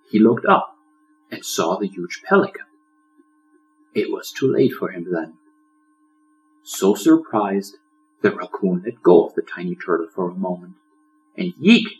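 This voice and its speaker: A man narrating a children's story as an audiobook, in a dramatic, expressive storyteller's manner. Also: deep voice, unhurried, long pauses, faint music behind, rough recording. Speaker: author reading own audiobook.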